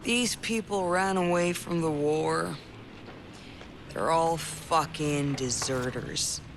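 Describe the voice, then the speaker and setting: An actor playing a bitter, drunk and hostile convoy rival in a video game, full of contempt. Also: male voice, a little high, slow pace, a bit bright, exaggerated voice. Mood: bitter, menacing. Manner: contemptuous, swearing. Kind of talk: video game cutscene acting. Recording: clear ambient noise behind.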